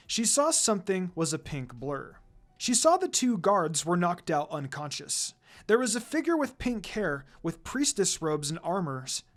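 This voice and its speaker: A man narrating a story aloud in a dramatic reading style. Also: high voice.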